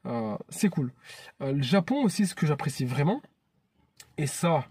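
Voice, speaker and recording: Man in his 30s, mellow and slightly husky, talks to his channel in a casual, heartfelt way. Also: a little high, very animated, moderate pace, no room sound. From France. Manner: earnest and chatty, often restarting phrases. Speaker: YouTube channel host. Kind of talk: casual vlog monologue.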